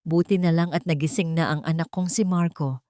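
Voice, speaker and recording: A woman's voice: sits low, fairly even intonation, fairly quick, mellow, no echo, lightly acted. Woman doing a snooty older rich matriarch, gossipy n sneering, audio drama villain, dry sound. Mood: gloating, scornful. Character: haughty, gloating older society matriarch, mocking.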